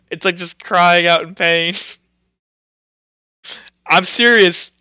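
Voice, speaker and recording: A man running a tabletop roleplaying game as game master, narrating casually and haltingly as he thinks aloud. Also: high voice, bright, forceful tone, smooth, dry recording, highs cut off.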